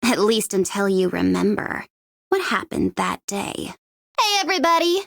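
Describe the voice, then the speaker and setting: A woman's voice: mid-range voice, sing-song, moderate pace, creaky, a bit bright, no room sound, clean studio sound. A woman playing a sardonic young female mercenary in a video game, mocking and teasing with an undercurrent of menace. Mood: wry, needling. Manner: mocking, teasing, drawling with sudden swoops and veiled threats. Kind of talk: English-language game character voice lines.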